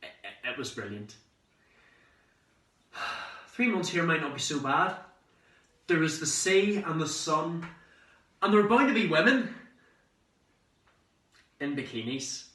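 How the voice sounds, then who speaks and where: A man performing a theatrical monologue as a cheeky, excitable young man telling of his summer abroad, in a dramatic conversational way. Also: a little high, full character voice. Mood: excited, wistful. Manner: dramatic, conversational storytelling with swearing and pauses. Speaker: amateur actor performing a monologue. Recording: roomy echo, distant microphone.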